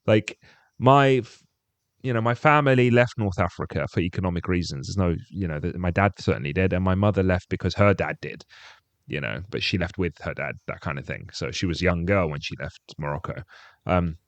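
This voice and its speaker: A man with a low voice talks thoughtfully on a podcast. The recording is dry, with no room sound around him. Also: a little fast, no room sound. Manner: thoughtful, reflective, hedging with "you know". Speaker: podcast co-host. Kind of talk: casual chat.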